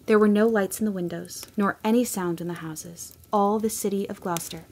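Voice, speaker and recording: A woman narrating a storybook audio drama in a gentle, measured way. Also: medium pitch, fairly even intonation, slight background noise.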